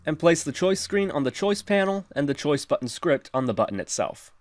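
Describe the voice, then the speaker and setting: A man narrating a software tutorial in an instructional, step-by-step manner. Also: on the high side, very animated, fairly quick, no echo. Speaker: tutorial presenter.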